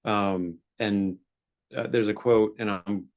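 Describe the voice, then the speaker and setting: A male podcast host with a low, gravelly voice. He talks earnestly and encourages his guest, making firm points in easy conversation. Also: fairly even intonation, slow pace. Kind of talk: casual interview conversation.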